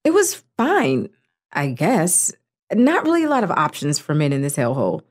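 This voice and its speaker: Husky, world-weary young survivor played by a woman. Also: sits low, huge pitch swings, normal speed, heavily acted. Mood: blunt, dismissive. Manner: wry, blunt. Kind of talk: amateur audio drama acting.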